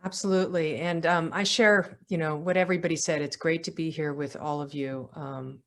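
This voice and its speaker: A woman's voice: low voice, fairly even intonation, fairly quick. A woman author speaking at a literary event, with talk and reading from her own writing. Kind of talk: casual talk, then literary reading aloud.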